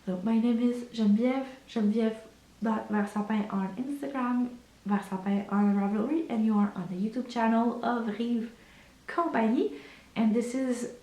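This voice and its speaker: A woman in her thirties talking casually in a vlog, her voice hoarse and croaky from a cold, calm and unhurried with many fillers. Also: on the high side. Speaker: vlogger. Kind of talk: casual chat. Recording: echoey room.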